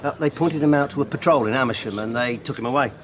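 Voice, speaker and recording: A man's voice: mid-range voice, fast, background noise, lightly acted. An actor playing a chummy, boastful, zealous party-loyal English father in a radio drama, with an eager, bluff, stammering delivery. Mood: proud, gleeful. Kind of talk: naturalistic radio drama acting. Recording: crowded, busy background chatter.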